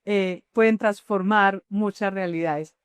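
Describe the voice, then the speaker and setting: A woman's voice: medium pitch, fairly even intonation, slow pace, clean tone, no echo. A woman podcast host, introducing guests at length in a chatty way and affirming them as they talk. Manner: chatty, affirming listener, long framing introductions. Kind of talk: warm podcast hosting and interviewing.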